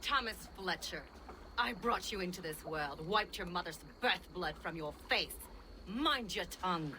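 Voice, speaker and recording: An actress playing a stern, commanding middle-aged village healer in a video game. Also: female voice, fairly low pitch, expressive, measured pace, pushed and bright, poor audio. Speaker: game character. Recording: game audio with background noise.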